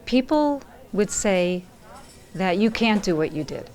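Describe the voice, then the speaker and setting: A woman interviewer asking direct, brisk questions. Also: mid-range voice, very animated, darkish tone.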